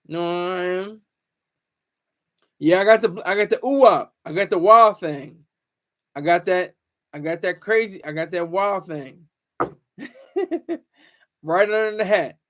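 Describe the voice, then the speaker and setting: A man's voice: high-pitched, measured pace, pauses often, fairly bright tone. A man hosting a casual livestream, rambling, teasing viewers and stumbling over restarts. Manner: stammers and restarts often. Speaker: livestream host.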